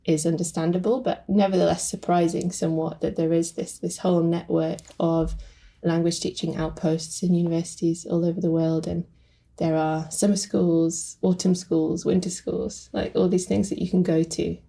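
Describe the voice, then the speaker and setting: Gravelly, low woman. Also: flat delivery, normal speed, mellow. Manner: reflective, with many fillers. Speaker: guest translator. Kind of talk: conversational podcast interview.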